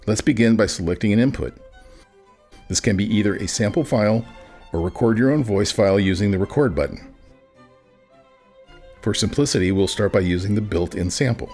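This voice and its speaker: A man's voice: lowish voice, animated, fairly quick, pauses often, a little mellow. A man reading a scripted product demonstration as a tutorial narrator. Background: background music.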